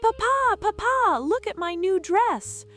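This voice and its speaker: A woman voicing an excitable, pampered little girl in a bedtime story, exclaiming and begging. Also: very high voice, takes it slowly, faint music behind, voice pushed up, heavily acted. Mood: excited.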